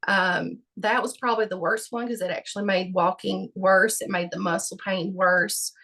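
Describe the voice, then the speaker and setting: A woman with a gravelly voice answering interview questions about her health, halting and full of fillers. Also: medium pitch, steady tone, pushed and bright. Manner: halting, many fillers and restarts. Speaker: patient guest.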